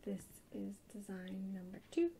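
A soft-spoken woman chatting casually in a rambling way for an ASMR haul video. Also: mid-range voice, lively intonation, a little slow. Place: indoors at a table, hands-only camera. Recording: clear background hiss or noise.

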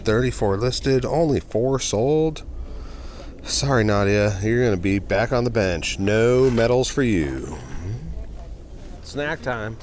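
A man walks an outdoor flea market, chatting in an upbeat, casual way to his viewers. His voice sits at an ordinary middle pitch. Clear market noise surrounds him on a phone recording. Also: expressive, slow pace, darkish tone. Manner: chatty, upbeat, talks to viewers as "you guys". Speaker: reseller vlogger. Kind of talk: casual walkaround vlog.

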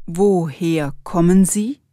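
A woman speaking slowly and distinctly in a beginner language lesson, repeating each sentence. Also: on the low side, warm tone, dry recording, clean studio sound. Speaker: language teacher. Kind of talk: slow, clearly spoken language lesson.

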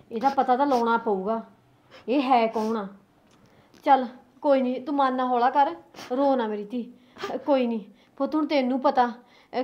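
A woman's voice: sits high, dull audio, natural voice. A woman playing a fretful mother. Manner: scolding then coaxing, motherly. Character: worried middle-aged mother caught between son and daughter-in-law.

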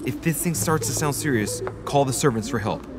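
An actor playing a brisk, sharp-tongued young nobleman in a video game. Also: mid-range voice, lightly acted. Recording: game audio with music behind.

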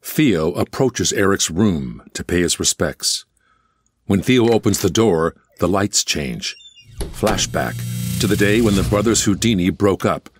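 A man's voice: deep voice, lively intonation, measured pace, husky. A man reading scripted stage directions as the narrator of an audio musical. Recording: loud music bed under the voice. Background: loud instrumental music.

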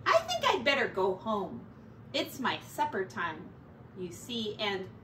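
A woman's voice: mid-range voice, huge pitch swings, measured pace, bright, forceful tone, exaggerated voice. A woman voicing a small, polite, curious male pocket mouse from a picture book, hesitant and full of questions. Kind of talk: children's picture-book character voice. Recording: roomy, distant mic, faint noise.

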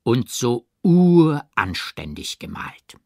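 A man's voice: medium pitch, very animated, slow pace, vocal fry, mellow, no echo, studio quality, full character voice. A man voicing an exuberant, boastful painter in an audiobook, with emphatic, theatrical delivery. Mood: gleeful awe. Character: exuberant, showy male painter holding forth at dinner. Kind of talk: audiobook character reading.

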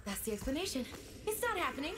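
An actress playing a feisty, wary human explorer in a video game, expressive and sardonic. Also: female voice, high voice, quick pace, very noisy. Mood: panicked, indignant. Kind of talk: scripted video game acting.

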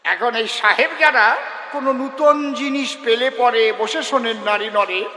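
A man's voice: very high voice, a little slow, pushed and bright. An older man with a rural Bengali accent telling comic folk stories live on stage, earthy and teasing, addressing his audience directly. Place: live performance before a gathering. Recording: echoey live stage sound, faint noise.

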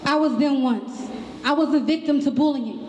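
A woman's voice: high-pitched, normal speed, darkish tone, slight background noise. A woman delivering an impassioned monologue on stage. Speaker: stage performer. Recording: reverberant hall.

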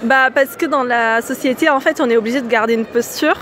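A woman's voice: fairly high pitch, brisk, a bit bright, a little hiss or hum. A young woman answering a street interviewer's questions hesitantly, with many fillers, into a handheld mic held out to her outdoors. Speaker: passer-by. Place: busy city street outdoors.

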